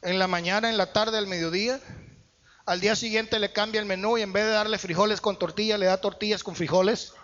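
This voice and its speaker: A man's voice: high voice, steady tone, fairly quick, a bit bright. A man preaching a sermon in a church hall, with emphatic rising bursts. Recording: muffled church hall echo.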